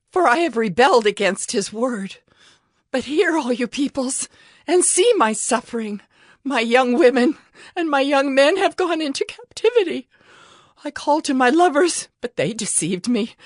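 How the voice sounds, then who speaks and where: A woman's voice: sits high, no echo, clean studio sound. A woman playing a ravaged city personified as a grieving, humbled woman, in an anguished, pleading lament for a dramatized scripture reading. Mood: remorseful sorrow. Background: music behind the voice.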